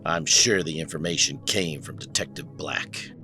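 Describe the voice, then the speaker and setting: Man acting low, muttering, brooding noir detective. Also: moderate pace, sharp tone, soft background music, full character voice. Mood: dry, low. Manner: muttering, terse, sardonic. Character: brooding, irritable male private detective, obsessive, worn-down. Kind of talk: noir audio drama acting.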